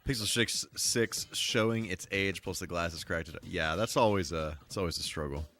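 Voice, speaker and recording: A man hosting a casual, rambling game livestream, animated and emphatic, repeating himself for emphasis. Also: low-pitched, moderate pace, slight background noise. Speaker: live streamer and host. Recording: music playing behind the voice. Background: music.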